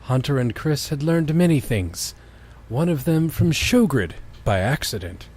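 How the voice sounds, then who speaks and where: A man's voice: a little high, sing-song, a little slow, darkish tone, breathy edge. A man hosting a comic reading of fan fiction, mixing mock-grand narration with amused asides. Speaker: podcast host.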